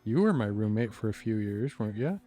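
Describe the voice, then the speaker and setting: A man acts a good-natured, exasperated young friend in an audio drama. His lowish voice moves around a lot as he grumbles wryly and casually. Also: sing-song, fairly quick, mellow, soft background music.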